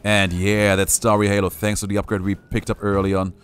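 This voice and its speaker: A man's voice: lowish voice, completely flat delivery, fairly quick. A young man streaming a game, giving playful, jokey live commentary and reading chat aloud. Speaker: gaming streamer.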